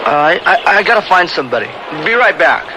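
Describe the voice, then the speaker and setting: An actor playing a cocky, controlling young balloon instructor in a radio drama, brash and smooth-talking. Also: male voice, sits high, big pitch swings, fast. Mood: scheming, hurried. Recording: noisy old radio drama recording.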